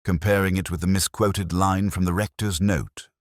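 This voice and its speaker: A man playing a reserved, observant English police detective, narrating in the first person in a measured, formal, literary way. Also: low-pitched, fairly even intonation, no room sound, studio quality, lightly acted. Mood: measured, contemplative.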